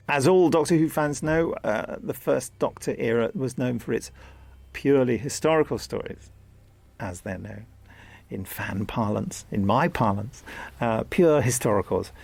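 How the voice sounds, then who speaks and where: A man, a director, giving relaxed explanatory commentary in a studio interview. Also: medium pitch, vocal fry, no room sound. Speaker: audio drama director. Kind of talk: behind-the-scenes interview commentary.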